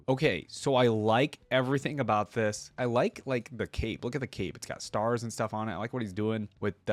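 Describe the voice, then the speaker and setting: A man's voice: medium pitch, no room sound. A man hosting a reaction video, talking casually and thinking aloud in a rambling, informal way.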